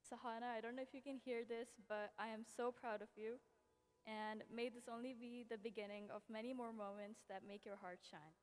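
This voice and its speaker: Young woman, earnest tribute speech, fairly high voice, reverberant hall. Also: fairly even intonation, fairly quick. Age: young adult. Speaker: friend giving a speech. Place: event hall.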